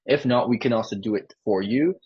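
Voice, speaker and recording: A man giving an informal sales pitch on a live call, peppering his explanations with "like". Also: fairly quick, slightly rough. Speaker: sales closer for a marketing agency. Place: online sales call with screen sharing. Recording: dull lo-fi call audio.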